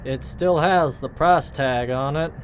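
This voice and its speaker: A woman's voice: deep voice, measured pace, a little hiss or hum. A woman playing a folksy, wary shopkeeper with a Southern US accent and a drawl in a radio play. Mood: skeptical.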